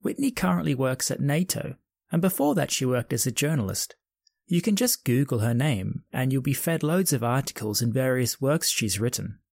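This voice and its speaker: A man's voice: mid-range voice, animated, normal speed, creaky, no echo, studio quality. A man hosting a travel podcast, reading an upbeat scripted intro and then chatting with his guest from home during lockdown. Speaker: podcast host.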